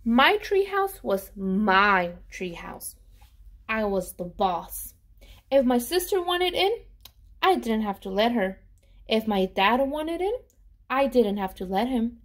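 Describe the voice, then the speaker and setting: A woman reading a storybook aloud to children in an expressive, animated way, pausing to put questions to them. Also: a little high. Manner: animated, with dramatic gasps and emphasis.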